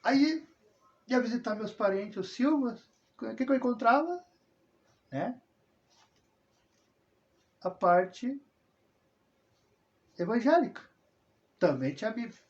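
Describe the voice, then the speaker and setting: Rambling southern man, high voice. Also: big pitch swings, slow pace, long pauses. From southern Brazil. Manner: rambling, frequent tag questions, self-corrections. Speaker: religious video host. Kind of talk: casual personal monologue. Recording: dull lo-fi sound.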